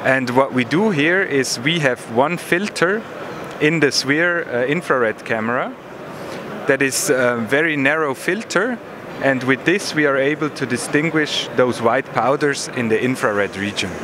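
A man in his thirties or forties giving a measured technical explanation in an interview, pausing to find words, on a handheld microphone. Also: mid-range voice, lively intonation. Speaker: product manager explaining a demo. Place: busy exhibition hall booth. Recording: noisy trade fair hall ambience.

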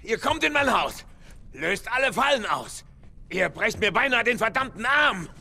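A man's voice: high voice, sing-song, moderate pace, creaky, bright, forceful tone, exaggerated voice. A man playing an irritable, sarcastic middle-aged loner in a video game dub, grumbling and blustering. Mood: sarcastic, irate.

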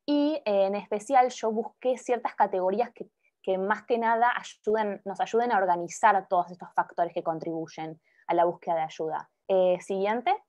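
A young woman presenting her doctoral research over a video call, pausing often with fillers and restarts. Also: medium pitch, darkish tone. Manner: hesitant, many filler sounds and restarts. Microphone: laptop microphone on video call. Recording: muffled video call audio.

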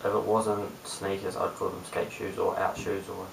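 A young man in his late teens with a New Zealand accent, chatting casually to camera in a low-key, hesitant mumble. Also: monotone, normal speed, creaky. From Christchurch, New Zealand. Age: young adult, late teens. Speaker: amateur video blogger. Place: small bare room indoors. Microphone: webcam at a short distance. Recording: roomy, distant webcam mic with hiss.

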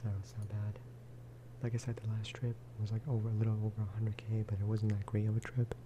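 A man talks softly through a video game in a relaxed ASMR style. His voice sits a little low and barely moves in pitch as he rambles casually. There is clear noise behind him, with clicking. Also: fast. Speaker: gaming video creator. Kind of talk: soft-spoken ASMR game commentary.

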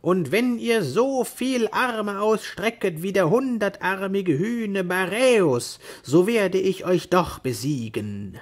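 A man's voice: high-pitched, lively intonation, takes it slowly, few pauses, pitched above the performer's own voice, exaggerated voice. A man voicing a proud, deluded knight-errant in a grandiose, declamatory manner for a storybook. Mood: defiant, battle-hungry.

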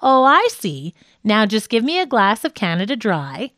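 Radio comedian played by a female narrator, wry, faintly impatient, high and lively, dry studio sound. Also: normal speed, no room sound. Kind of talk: reading quoted comedy script in an audiobook.

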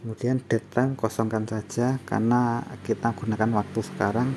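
A man presenting an unscripted step-by-step software tutorial in a halting, instructional manner, often adding little confirming tags. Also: mid-range voice, flat delivery. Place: voice-over on a screen recording. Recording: faint background noise.

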